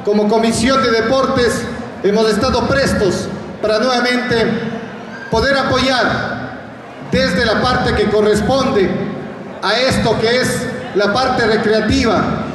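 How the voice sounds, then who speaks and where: A man with an Ecuadorian Spanish accent giving a formal public address as an official. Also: very high-pitched. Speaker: municipal official. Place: covered outdoor sports court. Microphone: handheld microphone through speakers. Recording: very echoey PA, distant, faint noise.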